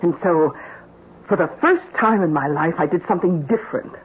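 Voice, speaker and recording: An actress playing a scheming middle-aged housekeeper in an old-time radio mystery, with deliberate, insinuating, theatrical phrasing. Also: female voice, on the low side, animated, slight background noise, close to an everyday voice. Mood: smug, confiding. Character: scheming middle-aged housekeeper, coolly blackmailing her employer. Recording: muffled old radio recording.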